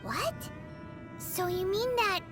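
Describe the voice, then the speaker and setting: A woman voicing a small, excitable, childlike fairy companion in a video game, full of exclamations. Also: very high voice, slow pace, full character voice. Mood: puzzled. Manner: speaks of herself in third person, exclaiming. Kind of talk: video game cutscene dialogue. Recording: background music under dialogue.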